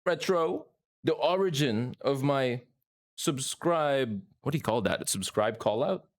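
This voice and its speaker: A man's voice: medium pitch, lively intonation, measured pace. Brief: jokey host in his thirties, from the Philippines, hyping up his guests. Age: 30s. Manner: playful, hyping, joking. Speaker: podcast host. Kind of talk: casual gaming podcast chat.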